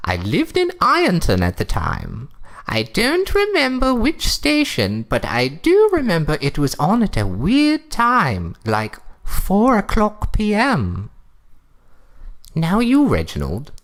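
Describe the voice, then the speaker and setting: A woman's voice: on the low side, huge pitch swings, takes it slowly, heavily acted. A woman playing a snooty, fussy older wife in a comic story reading, with a prim, theatrical, condescending delivery.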